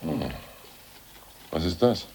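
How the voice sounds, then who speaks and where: An actor playing a brooding, ousted aging party official in a radio drama, who recites poems in a slow, solemn drone. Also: male voice, sits low, expressive, background noise, heavily acted. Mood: casual. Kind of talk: 1980s radio drama acting.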